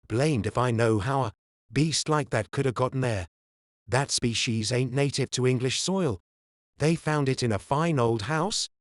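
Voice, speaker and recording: A man acts out a plain-spoken English zookeeper for an audio drama. He has a middling pitch and talks in rustic, colloquial turns, like someone spinning a local yarn. The recording is close and dry. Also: no echo, lightly acted. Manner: colloquial, rustic, matter-of-fact storytelling. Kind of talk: fan audio drama acting.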